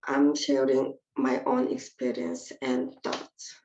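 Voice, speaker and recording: Slow, creaky young woman. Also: low-pitched, very animated, dark tone. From North Korea. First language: Korean. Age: 20s. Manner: measured and earnest, read from a prepared text. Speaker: invited speaker. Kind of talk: prepared personal testimony speech. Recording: echoey dull lo-fi audio.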